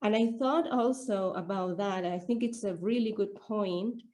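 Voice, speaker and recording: A woman's voice: medium pitch, measured pace, darkish tone. A woman whose first language is not English, asking questions at an online Q&A, hesitant and searching for her words. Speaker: audience member asking questions.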